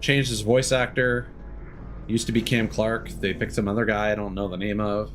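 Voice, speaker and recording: A man's voice: lowish voice, flat delivery, normal speed, faint music behind. A man streaming a video game, giving casual, musing commentary in a conversational way.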